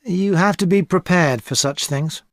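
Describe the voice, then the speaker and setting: An actress playing an experienced tutor advising a student in a scripted exam dialogue, calm and measured. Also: female voice, lowish voice, no echo, close to an everyday voice. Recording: dry studio recording.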